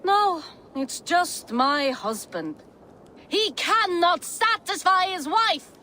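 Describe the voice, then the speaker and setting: A woman playing a bold, lusty Viking wife in a video game, eager for excitement. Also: very high voice, takes it slowly, a little hiss or hum, exaggerated voice. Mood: wry, frustrated.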